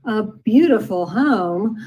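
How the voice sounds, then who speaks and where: Woman playing an animated, gushing lady neighbor. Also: medium pitch, takes it slowly. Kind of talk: old-time radio comedy acting.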